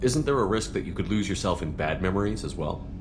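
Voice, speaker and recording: An actor playing an earnest, principled human military commander in a video game, with a direct, questioning manner. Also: male voice, deep voice, animated, fast talker, noisy background. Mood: curious. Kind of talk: video game dialogue acting.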